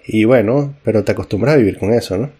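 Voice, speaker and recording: Brief: man with a lowish voice, podcast co-host, halting and reflective. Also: a loud crowd. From Venezuela. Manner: halting, thoughtful, searching for words. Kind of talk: casual discussion.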